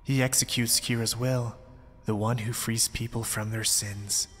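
A man's voice: mid-range voice, fairly even intonation, unhurried, fairly bright tone. A man playing a mysterious young zealot, polite, pompous and persuasive, in an ASMR roleplay drama. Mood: calm, persuasive. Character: mysterious, smooth-talking young male zealot cult recruiter. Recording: long reverb, background music. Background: music.

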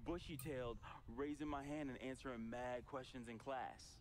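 A man's voice: medium pitch, steady tone, normal speed, slight background noise, lightly acted. A man playing a cocky, goofy young slacker in a video game, boastful and streetwise with mock earnestness. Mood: mock-earnest. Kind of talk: video game cutscene acting.

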